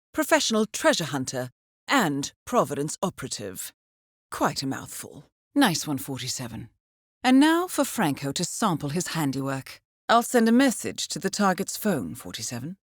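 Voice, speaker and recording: An actress playing a cool, composed intelligence handler in a video game, briefing an assassin with articulate dry wit. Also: female voice, medium pitch, dry recording. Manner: composed, dryly witty, articulate. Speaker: mission handler.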